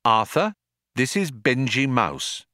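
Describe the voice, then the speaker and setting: A man's voice: mid-range voice, big pitch swings, slow, sharp tone, dry recording, clean studio sound, voice pushed up, exaggerated voice. A man voicing a composed, polite woman in a storybook.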